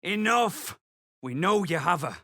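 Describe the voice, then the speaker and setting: A man playing a rough, angry young peasant in a video game, speaking bluntly and urgently. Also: high voice, big pitch swings, a little slow, sharp tone, no echo, clean studio sound, full character voice.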